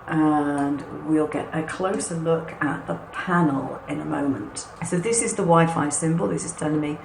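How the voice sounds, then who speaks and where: A hoarse, husky woman with a low voice gives a reassuring, step-by-step tutorial. She talks in an easy, chatty way. The room rings with echo and has a faint background hiss. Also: normal speed. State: hoarse and husky after a cough. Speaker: craft channel host. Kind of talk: hands-on product walkthrough.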